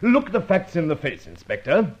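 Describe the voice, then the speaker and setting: An irate police superintendent in a vintage radio play. His voice rides high and swings widely as he scolds with brusque sarcasm. The recording is dull and dated. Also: wildly swooping pitch, normal speed. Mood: exasperated. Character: blustering, irate Scotland Yard police superintendent. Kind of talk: old radio drama acting.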